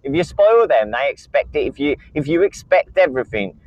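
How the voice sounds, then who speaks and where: A man's voice: on the high side, very animated, brisk, darkish tone, no echo. Caller in his thirties, loose and rambling, dry and muffled line. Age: 30s. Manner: rambling, repeats words, many fillers. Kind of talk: casual call-in chat.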